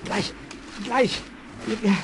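An actor playing a timid, good-hearted, simple-minded man who talks in halting, childlike broken phrases in a children's radio play. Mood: panicked. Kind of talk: 1970s German children's audio play acting. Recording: old audio drama, faint background noise.

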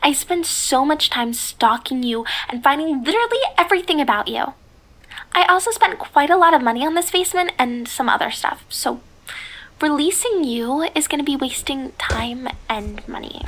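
A woman acts out a giggly yandere girl for an ASMR roleplay, with a very high, bright voice. She is sweetly menacing and obsessive, and she often sinks into breathy whispers. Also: animated, normal speed, poor audio. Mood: coy, obsessive. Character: giggly, obsessive yandere girl holding her crush captive.

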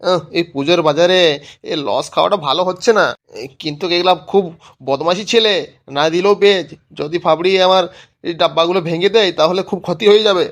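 A man's voice: high voice, brisk. A man voicing a grumbling village sweet-shop keeper in a cartoon comedy, scolding and exasperated, repeating himself. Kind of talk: amateur cartoon comedy voice acting.

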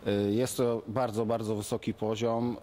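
A man answering interview questions in a halting way, pausing often on hesitation sounds. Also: on the low side, flat delivery, mellow.

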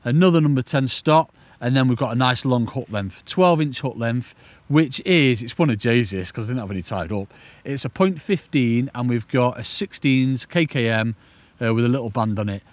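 British male, casual angling presenter. Mid-pitched voice, chatty and keen, explains things in a loose, rambling way. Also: moderate pace, no echo. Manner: enthusiastic, informal, rambling explanations. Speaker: angling presenter demonstrating a rig. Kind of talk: casual instructional chat to camera.

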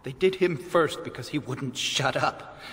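An actor voicing a bitter, shaken young elf mage in a video game, with a clipped, downcast delivery. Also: male voice, mid-range voice, unhurried, fairly bright tone, close to an everyday voice. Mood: grim. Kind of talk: video game cutscene acting. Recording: long reverberant hall echo.

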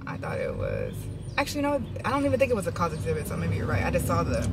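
Chatty woman in a noisy car. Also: on the low side. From California. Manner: chatty, easygoing, rambling with fillers. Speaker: vlog co-host. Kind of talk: casual car chat. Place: inside a parked or moving car. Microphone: camera on the dashboard. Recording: loud car and road noise.

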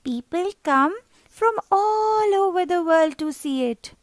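A woman's voice: very high-pitched, animated, takes it slowly, no echo, studio quality, pitched above the performer's own voice, heavily acted. A woman voicing a polite, proud young boy who speaks with care, in a storybook reading. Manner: careful, proud.

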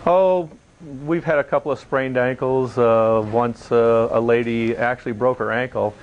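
A man speaking as an interview guest, in a conversational, somewhat halting way. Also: mid-range voice, steady tone, lo-fi sound. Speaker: volunteer caving project leader, interview guest.

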